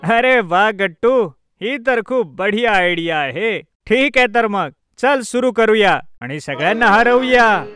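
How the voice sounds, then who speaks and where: A man's voice: high-pitched, animated, unhurried, fairly bright tone, clean tone, no echo, soft background music. Man voicing a boastful, jovial cartoon uncle. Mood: delighted, encouraging. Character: boastful, jovial middle-aged men, friendly rivals. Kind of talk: children's cartoon story dub.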